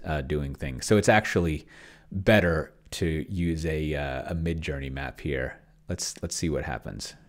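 Deep, gravelly middle-aged man thinking aloud. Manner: thinks aloud, muttering prompts in fragments as he types. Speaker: streamer and solo role-player. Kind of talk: casual live-stream commentary while typing. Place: small indoor home room. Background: computer keyboard typing.

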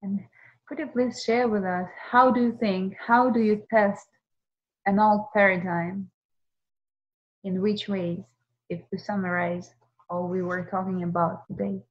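A woman's voice: medium pitch, fairly even intonation, measured pace, long pauses, dull audio. A woman interviewer asking polite, encouraging questions in tentative phrasing.

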